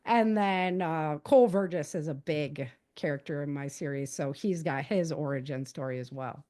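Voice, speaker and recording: A woman with an American accent speaking casually as a guest author, telling the story of her own novels in an animated, conversational way. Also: sits low, normal speed.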